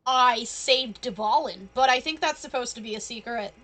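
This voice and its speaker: Bright, high-voiced playful woman streamer. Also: normal speed. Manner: playful, cheeky, blunt. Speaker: game streamer. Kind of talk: casual livestream commentary, playing along with dialogue.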